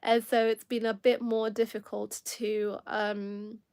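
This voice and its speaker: A woman's voice: a little high, flat delivery, slow pace, a little mellow, clear, resonant tone. A woman with a Kenyan accent hosting a casual livestream chat, rambling and correcting herself with frequent laughter, sounding a bit tired. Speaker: livestream host.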